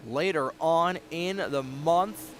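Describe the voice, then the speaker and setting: A man doing play-by-play commentary for an online racing league, excitable and quick, with a slightly raspy voice. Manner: excitable, fast-moving play-by-play with sudden rises in pitch at close calls. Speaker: race commentator. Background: race car engine noise.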